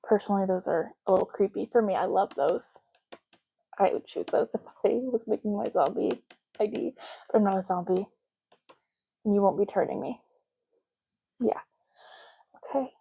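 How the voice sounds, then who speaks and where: A woman speaking softly and breathily in an unhurried ASMR roleplay, as a clerk helping a customer, gently lingering on and repeating words. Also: mid-range voice, muffled recording. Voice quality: soft, breathy, at times dropping to a whisper. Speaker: ASMR creator playing an ID card clerk in a roleplay. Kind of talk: ASMR roleplay, soft-spoken and casual.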